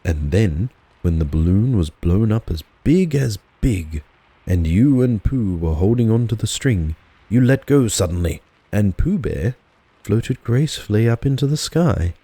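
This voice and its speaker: A man's voice: fairly low pitch, dark tone, breathy edge, no echo. A man narrating a children's storybook as a relaxing dramatised audiobook.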